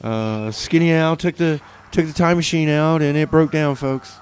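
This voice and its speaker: A man's voice: on the high side, moderate pace, slight background noise. A man hosting a community radio show, chatting in a rambling, easygoing way with lots of fillers between songs. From New Mexico, USA.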